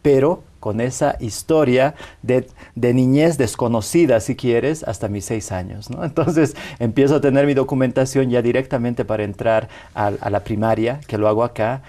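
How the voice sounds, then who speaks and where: Bolivian man, 40s, TV interview guest; mellow, dark timbre, measured and reflective delivery. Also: medium pitch. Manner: measured, frequent tag questions and restarts. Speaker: interview guest, rights activist. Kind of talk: reflective personal storytelling. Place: television studio.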